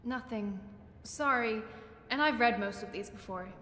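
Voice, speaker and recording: Eager, socially awkward young bookworm in a fantasy game. Higher register, rambling delivery, reverberant space. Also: female voice, a little slow, pushed and bright. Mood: thoughtful. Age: young adult. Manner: gushing, rambling, self-correcting. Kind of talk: video game character acting. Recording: echoey hall, faint background noise.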